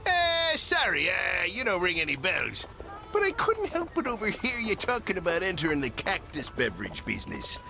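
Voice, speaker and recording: A man voicing a greedy, scheming cartoon crab with a gravelly voice and a folksy, shifty, blustering manner. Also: high voice, bright, forceful tone, full character voice. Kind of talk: animated game cutscene acting.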